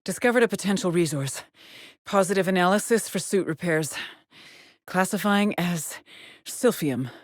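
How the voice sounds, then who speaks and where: An actress playing a haunted, determined space explorer stranded on an alien world, speaking a grave, introspective video game monologue with a husky, breathy voice. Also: female voice, lowish voice, animated, dry recording, clean studio sound, lightly acted. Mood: focused, analytical. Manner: measured, introspective, grave, with sighs. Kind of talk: scripted video game monologue, solitary log narration.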